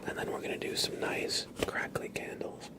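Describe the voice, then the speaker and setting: A man hosting an ASMR livestream, speaking in soft whispers with a gentle, playful manner. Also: moderate pace. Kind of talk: ASMR, whispered roleplay and chat with viewers.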